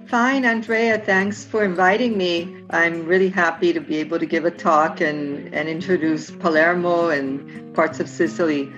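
A woman from Southern California, a historian, answering interview questions in a halting way with many restarts. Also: on the low side, fairly even intonation, fairly bright tone, dull audio, soft background music. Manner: halting, with frequent restarts and filler words. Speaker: guest historian and guide. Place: remote, home in Palermo.

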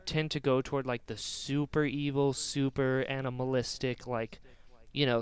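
A man's voice: mid-range voice, a bit bright, smooth. A man co-hosting a podcast, talking casually with emphatic, slangy fan enthusiasm. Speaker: podcast co-host.

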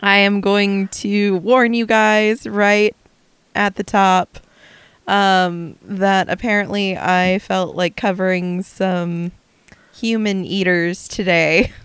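Smooth-voiced woman, chatty podcast host. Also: mid-range voice, steady tone, takes it slowly, fairly bright tone, no room sound. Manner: chatty storyteller, recounting a case with asides. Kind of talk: casual true-crime podcast chat.

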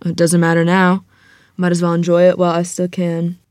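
A woman's voice: lowish voice, completely flat delivery, brisk, a little mellow, no room sound, lightly acted. A woman playing an ailing, frank, devout small-town organist in a table read, with folksy, plainspoken phrasing. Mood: wry, frank. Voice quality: coughing.

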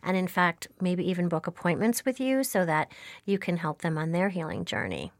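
A woman's voice: on the low side, expressive, normal speed, no echo, clean studio sound. A woman hosting a podcast interview, encouraging and chatty, with frequent fillers. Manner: warm-voiced, encouraging, with frequent fillers and laughs. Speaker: podcast host. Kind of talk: casual interview chat.

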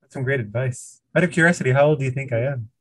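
A man's voice: mid-range voice, quick pace, no echo. Man in his thirties hosting, dry sound. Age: 30s. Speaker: podcast host. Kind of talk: casual interview conversation.

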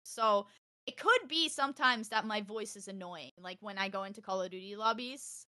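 A young woman from Texas chatting on a podcast, loud and animated, telling stories fast with a close mic. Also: medium pitch, no room sound. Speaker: podcast guest, streamer. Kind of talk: casual podcast chat.

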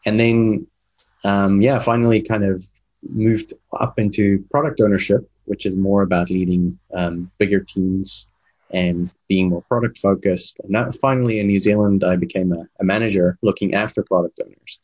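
A man's voice: low-pitched, steady tone, moderate pace, a little mellow, husky. A man whose first language is Afrikaans, telling his career story in a casual interview with lots of fillers. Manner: rambling storyteller with many fillers. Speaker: guest, game development manager and coach. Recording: dull lo-fi sound.

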